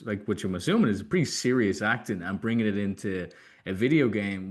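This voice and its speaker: Low-pitched man hosting an interview show. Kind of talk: casual interview chat.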